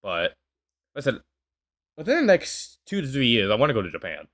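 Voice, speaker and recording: A man's voice: mid-range voice, huge pitch swings, a little fast, fairly bright tone. Rambling twenty-year-old guy. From United States. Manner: rambling, many "you know" fillers. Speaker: YouTube reactor. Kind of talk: casual reaction commentary.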